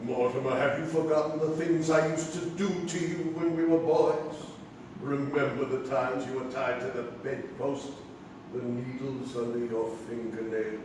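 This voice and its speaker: An actor playing a sadistic, coldly threatening villain brother in a comic stage play, deliberate and taunting. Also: male voice, medium pitch, steady tone, a little mellow, lightly acted. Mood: menacing. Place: small theatre, live performance. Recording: echoey, noisy stage recording from far off.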